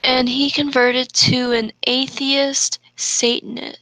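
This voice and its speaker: A woman co-hosting a comedic read-aloud, halting over the text and adding exasperated asides. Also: high-pitched, flat delivery, bright, forceful tone, dry recording, low-quality recording. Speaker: co-host reading fan fiction aloud.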